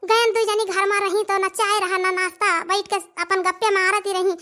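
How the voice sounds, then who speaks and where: A woman's voice: very high voice, little pitch movement, moderate pace, sharp tone. A young woman with an Awadhi accent playing an overworked, complaining village daughter-in-law in a comic cartoon, repeating her phrases for emphasis. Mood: bitter, complaining.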